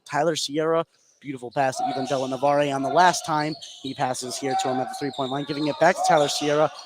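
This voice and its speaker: A man giving live play-by-play radio commentary on a basketball game, getting excitable on big plays. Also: mid-range voice, fast, noisy background. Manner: excitable on big plays, calling action as it happens. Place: indoor basketball gym, courtside.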